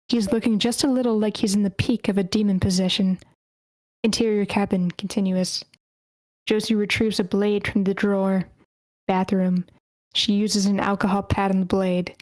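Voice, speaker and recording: A woman's voice: mid-range voice, a little mellow, no room sound, rough recording. A woman reading stage directions aloud as the narrator at a screenplay table read, in short clipped phrases.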